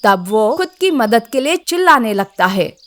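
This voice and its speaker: A woman's voice: on the high side, very animated, normal speed, a bit bright. A woman narrating a scripted children's story as a storyteller.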